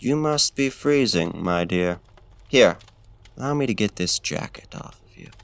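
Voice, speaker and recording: Male actor as seductive vampire host, very slow, creaky voice, formal archaic courtesy, gentle menace, intimate ASMR roleplay. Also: lively intonation, heavily acted. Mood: attentive, hospitable. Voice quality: low, gravelly.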